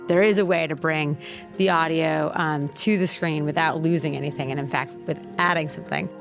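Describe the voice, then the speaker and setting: A woman gives an interview about her work in a low register. Her voice has a noticeable fry, and soft music plays faintly behind her. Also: steady tone, brisk. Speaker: organization spokesperson interviewee. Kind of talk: interview explanation.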